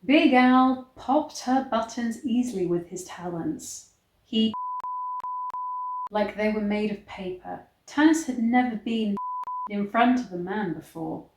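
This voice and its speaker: A woman reading a story aloud in a measured, theatrical storybook style. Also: fairly high pitch, a little hiss or hum. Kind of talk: dramatic storybook reading with disgusted asides. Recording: echoey, roomy sound.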